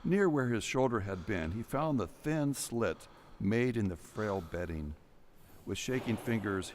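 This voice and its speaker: A man's voice: on the low side, a little hiss or hum. A man reading scripted narration for an audio drama in a dramatic storytelling style.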